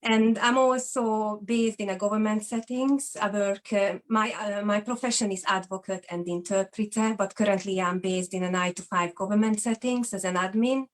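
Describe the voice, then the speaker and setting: A woman's voice: medium pitch, steady tone, normal speed, hardly any pauses. A woman in her forties from Hungary with a Hungarian accent, a guest sharing her own story in an interview in an earnest, open way. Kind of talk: personal testimony in an interview.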